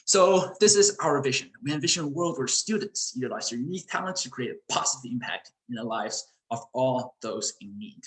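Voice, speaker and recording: A man's voice: medium pitch, lively intonation, brisk, hardly any pauses, a bit bright. A teenage male student, presenting informally on an online call. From Chicago area, United States. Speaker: student presenter, education executive of a youth charity. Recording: roomy echo on online call.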